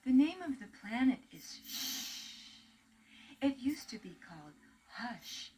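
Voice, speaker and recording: Kindly talking flying saucer , voiced by a woman: slow, soothing, expressive, soft music behind. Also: on the high side, breathy edge, full character voice. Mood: gentle.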